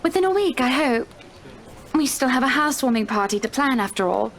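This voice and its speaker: A woman's voice: high-pitched, animated, brisk. A woman playing a wealthy, pushy female house buyer in a visual novel, effusive and condescendingly polite. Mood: gushing, imperious. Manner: effusive, condescending, addresses people with endearments.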